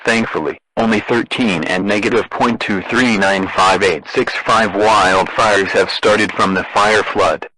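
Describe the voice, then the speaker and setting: Bright, low-pitched deadpan male alert announcer. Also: measured pace, few pauses, a little hiss or hum, low-quality recording. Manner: deadpan, formal. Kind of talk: scripted emergency broadcast narration.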